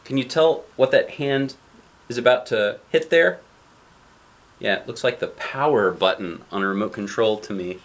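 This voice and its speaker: A man reading a picture book to children, with conversational asides and questions to the listener. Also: medium pitch. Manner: asks the listener questions, pausing to explain pictures. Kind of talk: read-aloud storytelling with conversational asides.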